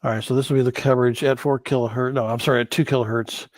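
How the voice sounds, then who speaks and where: A man giving an informal screen-share tutorial as an instructor, thinking aloud in a halting way and correcting himself as he goes. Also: medium pitch, fairly even intonation, no room sound. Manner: halting, hesitant delivery with self-corrections. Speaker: course instructor in church sound.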